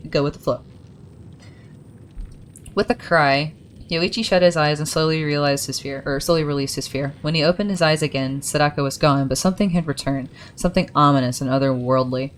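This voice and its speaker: Low, casual woman gamer reading lore, chatty asides, faint rumble underneath. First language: English. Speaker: gaming video creator.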